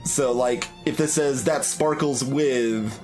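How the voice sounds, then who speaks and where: Slow-paced man musing over game music. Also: medium pitch, a little mellow. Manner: hesitant, thinking aloud, analytical. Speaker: video game streamer. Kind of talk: casual game commentary, reading text aloud.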